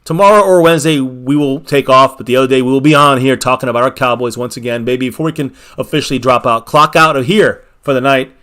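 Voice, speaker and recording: A man's voice: mid-range voice, fast talker, few pauses, a bit bright. A man hosting a casual fan livestream from a small home bar, thanking viewers by name and repeating his catchphrases with hype. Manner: hyped, repeats catchphrases, calls viewers baby. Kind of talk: casual livestream sign-off with shout-outs.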